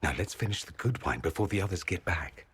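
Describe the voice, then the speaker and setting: A man's voice: sits low, expressive, brisk, husky, full character voice. An actor playing a witty, vain and sardonic nobleman mage with theatrical, flippant delivery in a video game. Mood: wry, fond. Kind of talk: dramatic video game dialogue.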